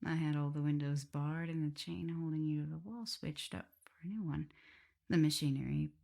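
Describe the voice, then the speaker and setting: A woman playing an affectionate, teasing vampire mistress with a prim teacherly side, in a soft, intimate, often whispered ASMR roleplay. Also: sits low, moderate pace, gravelly. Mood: fond, amused. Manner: tender, playful, hesitant murmuring.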